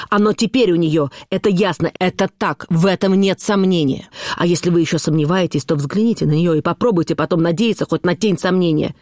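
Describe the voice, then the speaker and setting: Reader doing a stern cold husband, lecturing, slow and severe, dry close sound. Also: mid-range voice, darkish tone, no room sound, lightly acted. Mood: accusing. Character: stern, cold middle-aged husband, accusing. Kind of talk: audiobook reading of a classic novel.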